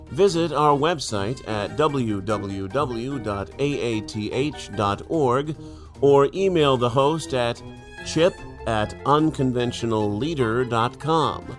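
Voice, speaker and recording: A man reading a scripted podcast outro as an announcer, in a tongue-in-cheek comedic style. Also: mid-range voice. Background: faint music.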